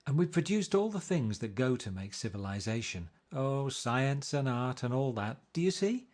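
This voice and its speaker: A man's voice: mid-range voice, big pitch swings, normal speed, darkish tone, lightly acted. A man voicing a self-important wealthy man in a storybook reading, insistent and lecturing.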